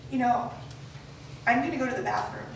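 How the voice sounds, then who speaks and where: A woman's voice: on the high side, brisk, creaky. A woman playing a guarded, withdrawn fifteen-year-old girl in a staged screenplay reading. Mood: defensive, evasive. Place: live reading in a reverberant room. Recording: echoey noisy room, distant microphone.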